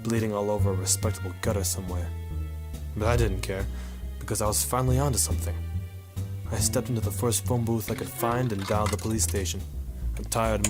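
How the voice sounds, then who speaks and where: Low-voiced, hard-boiled noir sleuth, acted by a man. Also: close to an everyday voice. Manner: wry, tough-talking, sardonic. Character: hard-boiled male noir photographer-sleuth, sardonic and defiant. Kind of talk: 1950s noir radio drama narration and acting.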